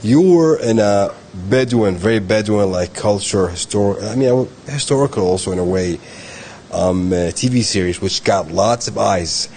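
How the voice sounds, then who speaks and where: A male interview host with a fairly low voice, eager and talkative. He cuts in with quick "yeah"s and stumbles over his words in his hurry to ask more. Friendly casual hosting. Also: darkish tone, slight background noise.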